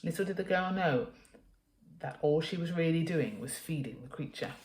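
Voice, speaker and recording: A woman's voice: low voice, lively intonation, moderate pace, a little mellow. A woman reading a children's story aloud as a teacher, with dramatic, emphatic expression. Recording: echoey room.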